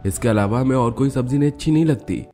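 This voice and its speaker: A man's voice: mid-range voice, quick pace, dark tone, faint music behind, lightly acted. A man playing an opinionated family member in a cartoon story, earnest and insistent. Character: contented, opinionated man of the family praising greens. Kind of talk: Hindi cartoon story dialogue.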